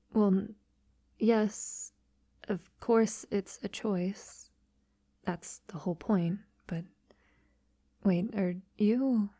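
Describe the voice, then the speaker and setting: A refined, devoted female vampire, acted by a woman speaks slowly and intimately, often dropping to a whisper. Her voice is dark and velvety, recorded very close and dry. She addresses her lover with formal tenderness, in the style of a romantic ASMR audio roleplay. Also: mid-range voice, dry recording, lightly acted. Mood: hesitant, surprised. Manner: soft, slow, breathy delivery slipping into whispers.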